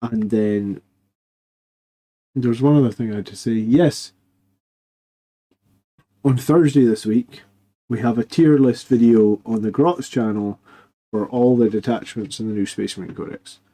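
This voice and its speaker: A man hosting a live stream in casual chat, quiet because he is losing his voice, rambling with fillers and restarts. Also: medium pitch, measured pace, pauses often, poor audio. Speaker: host of a live army list show.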